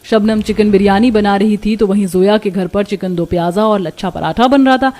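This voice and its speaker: A woman's voice: mid-range voice, a little fast, darkish tone. A woman reading scripted narration for a cartoon story.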